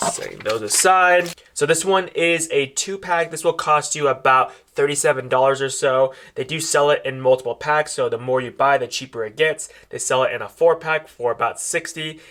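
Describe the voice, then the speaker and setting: A man's voice: mid-range voice, background noise. A man presenting a product in a casual, step-by-step unboxing style, explaining things directly to viewers as he demonstrates.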